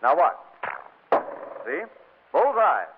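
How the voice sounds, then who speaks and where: An actor playing a boastful, amorous, easily bewildered bachelor in a 1940s radio comedy. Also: male voice, a little high, sing-song, takes it slowly, background noise. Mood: flirtatious, boastful. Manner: stammering, eager, suggestive. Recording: tinny old radio recording.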